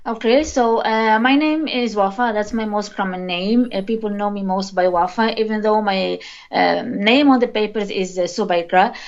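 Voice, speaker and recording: A woman, a linguist speaking as a guest in a podcast interview, hesitant and halting as she searches for words. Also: mid-range voice. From Tunisia. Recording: dull lo-fi recording.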